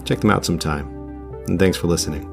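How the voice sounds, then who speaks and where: Low-voiced man hosting a podcast. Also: fast, creaky. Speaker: podcast host and producer. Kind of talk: relaxed podcast narration and storytelling. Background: music.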